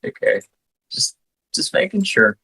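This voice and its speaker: A young man bantering as co-commentator after a game, playful and quick to laugh. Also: sits high, big pitch swings, vocal fry, bright, forceful tone, slightly rough, poor audio. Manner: playful, giggly, teasing. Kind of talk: casual post-game banter.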